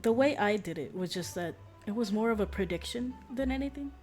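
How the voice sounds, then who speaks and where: A woman's voice: mid-range voice, lively intonation, brisk, a little mellow. A woman streamer chatting casually and ramblingly while a game plays. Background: game music.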